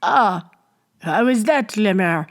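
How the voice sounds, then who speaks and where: A woman voicing a boastful, gruff male gate guard of the French Revolution in a storybook reading. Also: medium pitch, lively intonation, takes it slowly. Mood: self-important. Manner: gruff, blustering.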